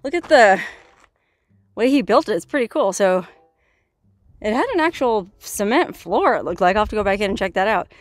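A woman's voice: medium pitch, expressive, moderate pace, long pauses. A woman, chatting playfully to a handheld camera as she explores a cave cabin outdoors, laughing and spinning silly stories. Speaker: solo outdoor adventure vlogger. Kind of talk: casual vlog narration while exploring. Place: outdoors, inside a rock cave and cabin in a canyon. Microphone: handheld camera at arm's length.

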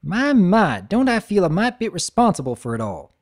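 A man's voice: high voice, wildly swooping pitch, normal speed, pitched above the performer's own voice, exaggerated voice. A man voicing a hardworking, cheerful adult farm pony mare with a put-on Southern drawl. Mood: hearty. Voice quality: folksy, drawling.

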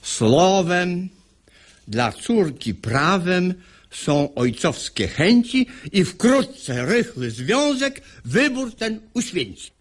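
A stubborn, pompous father in a classical verse radio play. His husky, fairly high voice blusters and swoops dramatically. He stretches his words very slowly for emphasis. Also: very animated, heavily acted. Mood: exasperated, angry. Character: stubborn, irascible middle-aged father, pompous master of the house.

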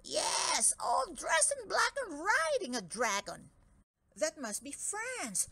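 A woman's voice: extremely high pitch, big pitch swings, takes it slowly, gravelly, bright, forceful tone, voice pushed up, full character voice. A woman voicing an indignant male village gnome in a storybook, exclaiming in agitation. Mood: alarmed, puzzled.

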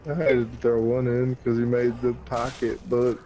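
A man's voice: medium pitch, completely flat delivery, normal speed, mellow. A man in casual gaming chat, slangy and teasing, swearing freely. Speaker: gamer in a squad voice chat. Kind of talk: casual game chat and banter. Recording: noisy game voice chat.

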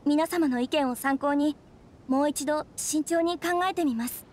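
Demure high-voiced young noblewoman. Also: a little slow, a little mellow, a little hiss or hum. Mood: grateful. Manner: demure, hesitant, very polite. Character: demure, troubled young noblewoman, refined and polite. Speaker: bride-to-be of a noble clan. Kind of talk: anime game voice acting, formal polite dialogue.

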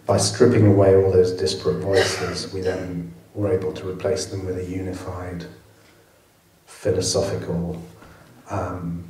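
A man with a low, dark voice answers audience questions as a film director. He talks thoughtfully and often restarts his sentences. The sound is distant and echoey, like a hall heard from far off. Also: flat delivery, measured pace. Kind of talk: post-screening Q&A answers. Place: cinema auditorium.

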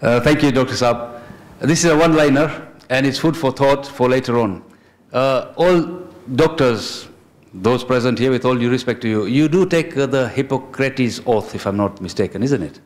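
Polite man with a Kenyan Memon accent. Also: mid-range voice. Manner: polite, measured, deferential. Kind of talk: audience remark at a forum.

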